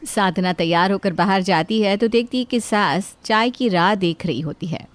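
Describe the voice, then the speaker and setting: A woman's voice: medium pitch, normal speed, no room sound. A woman reading a scripted family story as a narrator.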